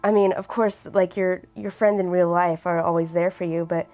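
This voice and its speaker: An eighteen-year-old woman with a raspy voice who keeps clearing her throat, speaking earnestly and reflectively in a documentary interview. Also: lowish voice, steady tone, moderate pace, a little mellow, faint music behind. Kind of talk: personal documentary testimony.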